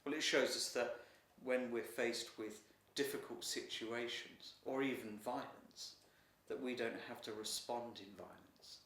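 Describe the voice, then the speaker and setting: A man reading a children's story in an expressive, deliberate narrating style. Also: mid-range voice, a little hiss or hum. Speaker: author reading own story. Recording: roomy echo, distant mic.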